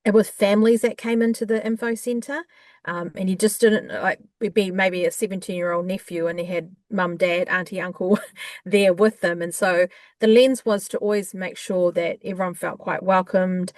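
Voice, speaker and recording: A woman with a New Zealand accent, a guest in an interview, talking in a warm, chatty way with frequent fillers. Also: on the low side, steady tone, normal speed, dry recording, clean studio sound. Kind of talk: conversational interview.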